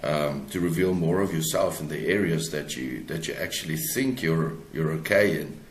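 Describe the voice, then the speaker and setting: A South African man speaks in a deep voice as an interview guest. He answers in a conversational, reflective way, picking through his phrases haltingly. The recording has a clearly echoey room sound. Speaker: author, podcast guest.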